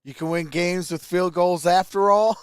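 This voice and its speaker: A man's voice: high-pitched, steady tone, moderate pace, smooth, no echo, clean studio sound. A man hosting a sports livestream, casually mixing play-by-play with informal, chatty replies to viewers. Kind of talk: casual live play-by-play and chat.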